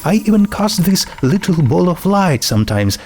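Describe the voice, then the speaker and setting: A man's voice: sits high, big pitch swings, moderate pace, darkish tone, a little hiss or hum, voice pushed up. A man voicing a friendly, homesick teenage girl in a storybook, talking in a casual, chatty way. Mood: wistful, fond.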